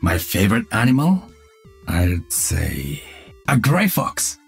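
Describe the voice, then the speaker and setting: An actor playing a calm, earnest cyborg ninja with dry humour, speaking measured, solemn video game lines. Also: male voice, on the low side, vocal fry, slightly rough, soft background music, exaggerated voice.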